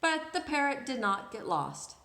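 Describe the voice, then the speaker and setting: A woman's voice: sits high, big pitch swings, measured pace, bright, forceful tone, a little hiss or hum. A woman reading a picture book aloud to children expressively, drawing out words and putting questions to her listeners. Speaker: storyteller, children's read-aloud host. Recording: echoey room.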